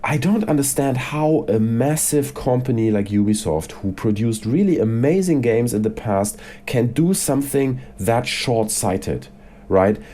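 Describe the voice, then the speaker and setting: A man's voice: mid-range voice, lively intonation, unhurried, warm tone, slight background noise. A man with a cold, his voice a bit congested, talking casually and with strong opinions about video games as a YouTuber. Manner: chatty and opinionated, rants with frequent "you know" and "right?". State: has a cold, voice slightly congested. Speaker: gaming YouTuber.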